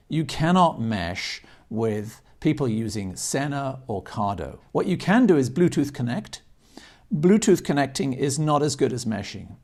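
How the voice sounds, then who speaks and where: A man's voice: medium pitch, darkish tone. A man in his sixties giving a casual unboxing and review talk to camera, chatty and explanatory, leaning on key points. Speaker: product reviewer. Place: indoors, garage-like room.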